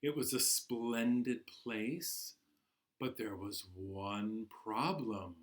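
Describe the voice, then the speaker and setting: Slow-paced man hosting children's storytime. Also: lowish voice. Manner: animated, expressive, encouraging toward children; slow, deliberate storytelling with dramatic pauses. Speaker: library storytime host. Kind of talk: children's storytime, reading a picture book aloud.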